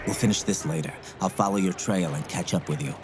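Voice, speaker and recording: An actor playing a grim, detached warrior in a video game, speaking huskily in clipped, solemn phrases. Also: male voice, fast talker, heavy background noise, close to an everyday voice. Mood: detached, businesslike. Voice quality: husky, low. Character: grim, detached male warrior on a secret mission. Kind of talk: cinematic video game acting.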